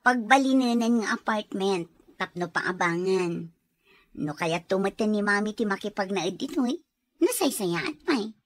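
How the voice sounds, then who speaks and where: An actress playing an affectionate, encouraging wife in an Ilocano radio drama, with a Filipino accent. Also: female voice, medium pitch, lively intonation, measured pace, dry recording. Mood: cheerful, encouraging.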